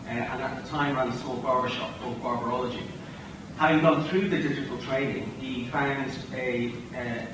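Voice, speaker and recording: A man with an Irish accent giving a measured conference panel speech, often pausing on uh. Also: mid-range voice. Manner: measured, frequent uh fillers. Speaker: tech company executive panelist. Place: large hotel conference hall. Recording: echoey hall, distant mic, noisy.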